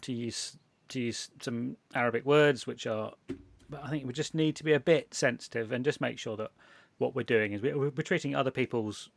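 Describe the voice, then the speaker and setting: A man's voice: medium pitch, moderate pace, vocal fry. A man from England hosting a casual chat, rambling with frequent restarts and laughter. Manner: rambling, self-interrupting, laughs often. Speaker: podcast host.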